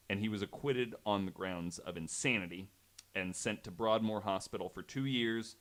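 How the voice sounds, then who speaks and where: A man telling a true story on a podcast in a casual, halting, conversational way, working from notes. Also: on the low side, a bit bright.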